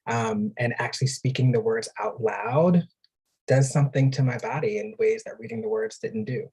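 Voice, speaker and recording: Man, breathy husky voice, medium pitch, earnest, reflective informal talk. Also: normal speed, darkish tone, rough recording. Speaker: reader, event participant.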